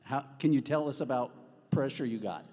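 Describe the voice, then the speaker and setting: Mellow-voiced man in an echoing hall. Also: mid-range voice, moderate pace. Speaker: audience member asking a question. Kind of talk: halting, unscripted question. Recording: echoey hall, long reverb.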